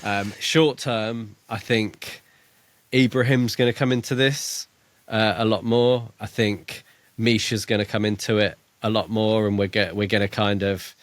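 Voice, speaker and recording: A man with a fairly low voice, recorded dry and close. He talks casually as a podcast co-host, feeling his way through his thoughts with some hedging. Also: fairly even intonation, a little slow, dry recording. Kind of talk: casual book discussion.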